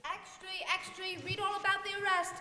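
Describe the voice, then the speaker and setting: A woman plays a street newspaper seller in a radio drama. The cry is piercingly high and bright, echoing through a hall. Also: flat delivery, brisk, full character voice. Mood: urgent hawking. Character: shrill street newspaper seller crying headlines. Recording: echoey hall, faint noise, distant.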